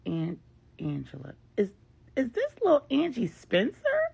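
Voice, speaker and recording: Composed, husky woman attorney as a storyteller plays her. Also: mid-range voice, wildly swooping pitch, unhurried, a little mellow, pitched above the performer's own voice, exaggerated voice. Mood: surprised.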